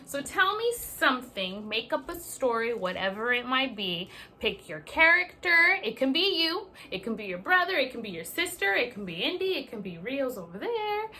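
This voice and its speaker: High, animated woman reading to children. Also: normal speed, few pauses. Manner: animated, addresses children directly with questions and asides. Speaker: teacher reading a story aloud to young pupils. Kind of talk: expressive children's read-aloud.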